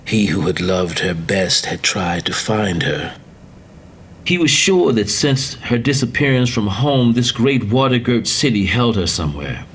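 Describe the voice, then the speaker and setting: Low-voiced man, theatrical storyteller. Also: fairly bright tone. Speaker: story reader. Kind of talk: dramatic literary narration of a macabre tale. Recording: faint background noise and ambience.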